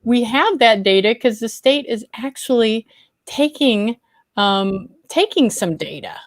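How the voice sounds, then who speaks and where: A woman's voice: on the high side, lively intonation, measured pace, dry recording, studio quality. A woman hosting a talk show, casual and friendly, with frequent fillers over a video call. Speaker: host.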